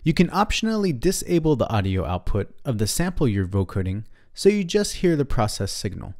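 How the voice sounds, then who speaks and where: A man's voice: medium pitch, sing-song, a little fast, darkish tone. A man narrating a scripted instructional tutorial in a methodical, step-by-step way.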